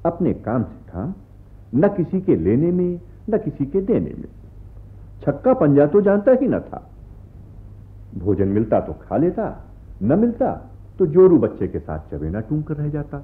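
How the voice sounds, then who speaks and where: An actor playing a genial, bookish gentleman in a radio play, musing to himself and narrating a story expressively. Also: a little high, wildly swooping pitch, a little slow, long pauses. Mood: storytelling. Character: bookish gentleman reading stories aloud at bedtime, genial. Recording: muffled narrow-band radio recording with music.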